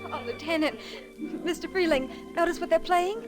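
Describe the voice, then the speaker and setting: A woman's voice: very high voice, moderate pace, music in the background. An actress playing a sweet, nervous young small-town woman in old radio drama style, polite and hesitant. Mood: gently inviting. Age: young adult. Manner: breathlessly hesitant, courteous. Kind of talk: 1940s-50s radio drama acting.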